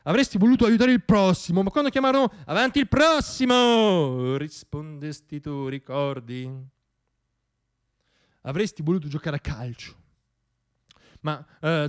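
Wry theatrical male monologist, clear voice. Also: fairly high pitch. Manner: wry, ironic, dramatic phrasing with pauses and swells. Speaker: solo theatre performer and monologist. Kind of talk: theatrical radio monologue, storytelling addressed to "you".

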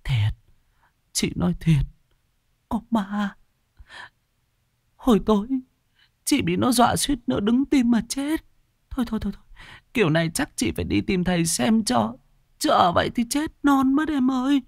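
A man's voice: sits high, animated, normal speed, creaky, pauses often, no echo, studio quality, pitched above the performer's own voice, exaggerated voice. A man voicing a shaken, weary shop owner woman in a horror story, stammering and pleading. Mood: terrified.

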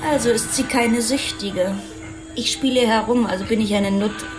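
Actress doing a secretive rich girl lover in a radio drama, highish voice, confiding, music behind. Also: moderate pace, natural voice, poor audio. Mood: defiant, frank. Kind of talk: intimate radio drama dialogue.

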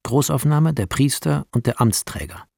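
Man, scripted scene narration, creaky and rough-edged, clipped directions, measured. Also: medium pitch, lively intonation, a little mellow, dry recording, studio quality.

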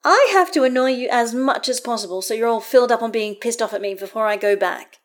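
Woman voicing a loud, boisterous, teasing woman friend. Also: fairly high pitch, quick pace, voice pushed up, natural voice. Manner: loud, exclamatory, cheeky.

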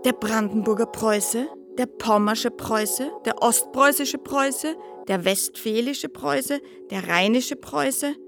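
A woman's voice: on the high side, takes it slowly, creaky, few pauses, music in the background. An actress playing an eloquent, ironic woman lecturer in a radio play, pointed and full of rhetorical turns. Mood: ironic analysis. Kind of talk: radio play monologue, essayistic and satirical.

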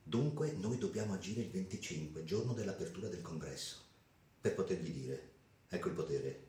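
A man voicing a revolutionary leader in a radio play, declamatory and deliberate. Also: sits low, animated, warm tone, a little hiss or hum. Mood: hushed, urgent. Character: impassioned male revolutionary leader making political declarations. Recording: reverberant voice over music.

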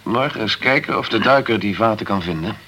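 An actor playing a sceptical male investigator in a radio crime drama, composed and persistent, with measured, pointed questioning. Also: fairly low pitch, lively intonation, sharp tone, slightly rough, heavily acted. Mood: methodical, probing.

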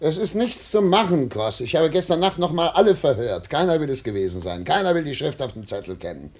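A stern irritable investigator in a radio drama, slightly high voice, bossy and imperious. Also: male voice, big pitch swings, brisk, a little mellow. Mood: frustrated, explaining. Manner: imperious, barking orders, clipped commands. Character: stern, irritable male investigator interrogating war crime suspects. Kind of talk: German radio play acting, partly narrating.

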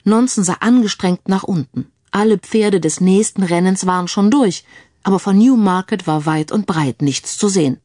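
A woman's voice: mid-range voice, normal speed, warm tone, dry recording, studio quality. A woman reading a scripted crime story as an audiobook narrator.

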